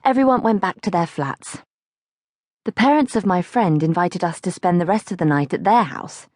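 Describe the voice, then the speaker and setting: A woman's voice: fairly low pitch, moderate pace, dry recording, studio quality. A woman narrating a childhood diary as an audiobook, reading expressively from a script. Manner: expressive, acts out questions.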